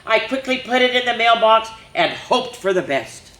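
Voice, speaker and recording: A woman's voice: fairly high pitch, expressive, moderate pace, a bit bright, reverberant. A woman reading a rhyming picture book aloud to children, with chatty asides between pages. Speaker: children's storyteller.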